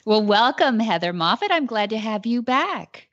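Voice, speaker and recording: A woman's voice: on the high side, sing-song, moderate pace, dry recording. A woman hosting a radio interview show, chatty and friendly, with some restarts. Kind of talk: casual interview. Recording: dull lo-fi audio.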